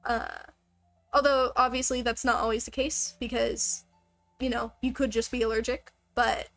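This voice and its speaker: Casual, high-voiced woman livestreamer. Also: level tone, moderate pace, fairly bright tone, soft background music. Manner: joking, self-deprecating. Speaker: game livestreamer. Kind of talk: casual rambling chat with viewers.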